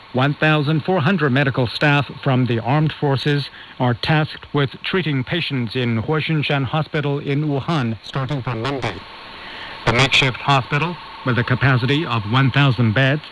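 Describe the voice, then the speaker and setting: A man reading a scripted news bulletin as anchor, formal and measured, heard over shortwave radio. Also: medium pitch. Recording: noisy shortwave radio reception.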